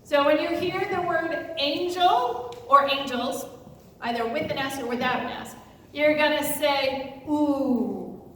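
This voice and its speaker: A woman's voice: sits high, takes it slowly. A woman pastor speaking to a congregation in a church, introducing a story and teaching them responses. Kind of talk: interactive talk introducing a story to an audience. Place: large church room. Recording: echoey hall from far off, faint noise.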